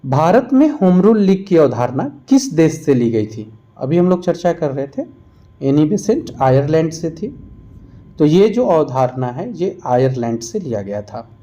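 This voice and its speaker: Higher-pitched, mellow-voiced man teaching a quiz lesson. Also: very animated, measured pace. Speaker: exam-prep history teacher. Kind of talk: quiz-style lesson narration.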